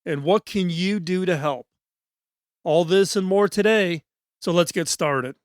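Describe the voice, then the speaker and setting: High-voiced, hoarse male podcast host. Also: unhurried. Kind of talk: scripted podcast monologue. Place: studio.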